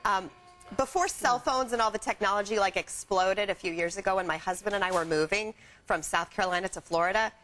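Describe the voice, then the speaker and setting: A woman with a medium-pitched voice demonstrates products on a live shopping show. She sounds upbeat and chatty, telling it like a personal story, with faint noise behind her. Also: brisk, a bit bright, poor audio. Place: TV shopping studio. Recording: faint background studio noise.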